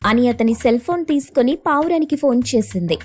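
A woman's voice: fairly high pitch, lively intonation, a little fast, darkish tone, faint music behind. A woman reading scripted narration for a children's cartoon story.

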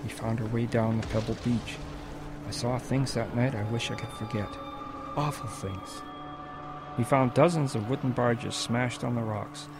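Gravelly old grandpa telling a war tale, measured and solemn, music underneath. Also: male voice, mid-range voice. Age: older. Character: reflective old grandfather recalling his wartime boyhood. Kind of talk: narrated radio drama storytelling.

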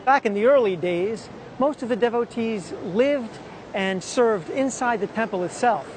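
Man hosting a documentary outdoors at a street festival, high voice, explains things calmly, music behind. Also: measured pace. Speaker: presenter. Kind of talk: documentary narration.

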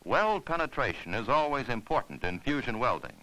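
A man's voice: medium pitch, sing-song, fairly quick, bright, forceful tone, poor audio. A man narrating an instructional film in a formal, scripted, step-by-step way.

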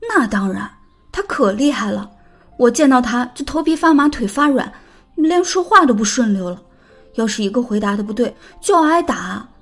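A woman's voice: on the high side, expressive, moderate pace, a bit bright. An actress playing a spirited, blunt but kind-hearted young woman in an audio drama, playfully scolding. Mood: anxious, candid. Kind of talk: audio drama character acting.

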